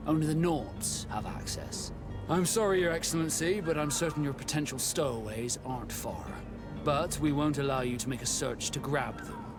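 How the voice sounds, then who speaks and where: A man's voice: medium pitch, lightly acted. Proud, guarded sea captain played by a man, music behind. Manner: measured, formal, dry.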